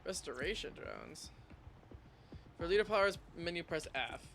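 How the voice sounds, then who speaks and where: A man's voice: a little high, huge pitch swings, moderate pace, gravelly, a bit bright. A man giving casual live commentary while streaming a game, muttering to himself and reading prompts aloud. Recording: game battle noise behind voice. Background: video game gunfire and vehicles.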